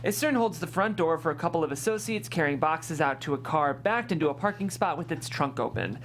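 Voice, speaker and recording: A woman's voice: sits low, lively intonation, brisk, a little hiss or hum. A woman reading a screenplay's scene directions as scripted narration at a table read. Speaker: stage-direction reader.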